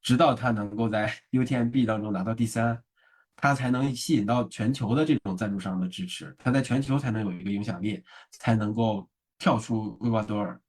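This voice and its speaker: Fast-talking husky male host. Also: fairly low pitch, a little mellow. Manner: stammers and repeats words, asks long questions. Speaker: podcast host. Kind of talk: casual interview chat.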